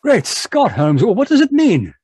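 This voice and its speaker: High, husky, excitable radio sidekick, acted by a man. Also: a little slow, full character voice. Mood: puzzled, excited. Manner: emphatic, exclamatory. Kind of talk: old-time radio mystery acting.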